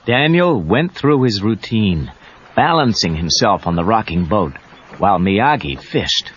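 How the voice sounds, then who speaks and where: A man's voice: lowish voice, lively intonation, unhurried, a bit bright, slight background noise. A man narrating a scripted children's read-along story as the storyteller. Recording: dull cassette tape under music. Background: music.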